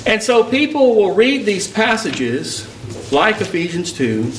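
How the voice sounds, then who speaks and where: Male preacher from Texas, higher voice, emphatic sermon delivery, roomy echo, distant mic. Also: big pitch swings, takes it slowly, slight background noise. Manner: emphatic, rising preaching cadence. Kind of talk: sermon with personal storytelling. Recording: echoey hall picked up from a distance.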